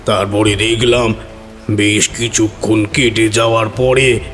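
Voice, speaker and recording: A man acting as a worried village manservant in a horror audio drama, speaking gravely and earnestly. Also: fairly low pitch, level tone, slow, fairly bright tone, soft background music. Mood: uneasy recounting. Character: Harihar, worried village manservant recounting an eerie night.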